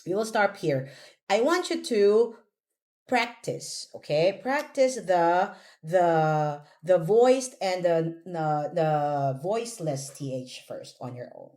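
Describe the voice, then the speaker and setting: A woman's voice: on the low side, expressive, darkish tone. A woman coaching a learner one-on-one in English pronunciation, giving instructions and modelling words to be copied. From Philippines. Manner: instructing, repeating words slowly for the learner to copy.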